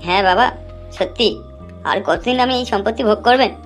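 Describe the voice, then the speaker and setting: A woman's voice: mid-range voice, fast talker, lightly acted. A woman voicing a scheming young widowed daughter-in-law in an amateur cartoon, brash and colloquial. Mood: falsely polite. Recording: music under the voice.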